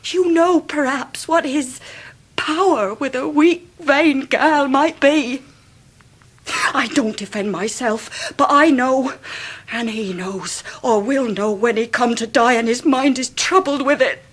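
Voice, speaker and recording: High-voiced, imploring young Englishwoman. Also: fairly bright tone. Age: young adult. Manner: imploring, emotional. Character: pleading, disgraced young working-class Victorian woman. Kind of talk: 1990s radio drama acting.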